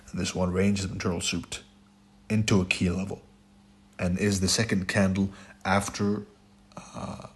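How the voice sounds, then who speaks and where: Raspy deep-voiced man lecturing bluntly. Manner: blunt, emphatic, repeats "right?" often. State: hoarse, throat hurting. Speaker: trading instructor. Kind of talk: lecture on trading charts.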